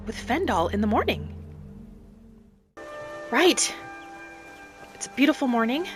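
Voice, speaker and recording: A woman narrating a game roleplay in character as a gentle, hopeful young elf adventurer, softly musing aloud. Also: fairly high pitch. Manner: soft, musing, speaking thoughts aloud. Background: music.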